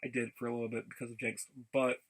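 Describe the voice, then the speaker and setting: A man giving a casual, rambling vlog update in a gravelly voice, haltingly and often repeating his phrases. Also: mid-range voice, level tone. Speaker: video blogger.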